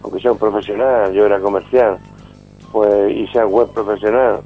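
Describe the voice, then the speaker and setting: A man in his fifties from Spain, by his accent, with a slightly low voice. He speaks as an interviewed activist, informally and haltingly with many tag questions. The sound is dull and lo-fi, with music faintly behind him. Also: steady tone. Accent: Spanish from Spain. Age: 50s. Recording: dull band-limited call with music behind.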